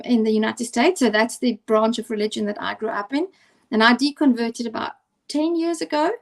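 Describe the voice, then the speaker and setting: A woman's voice: a little high, a little mellow. A woman from South Africa with an Afrikaans accent, a teacher chatting casually on a video call and often restarting her sentences. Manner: hesitant, many restarts and fillers. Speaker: teacher and co-host.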